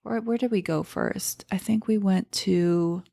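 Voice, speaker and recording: A woman's voice: medium pitch, unhurried, vocal fry, mellow. A woman in her thirties, born in Iran and raised in Seattle, chatting casually as an interview guest, telling stories with fillers and restarts. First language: Persian. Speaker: stand-up comedian, podcast guest.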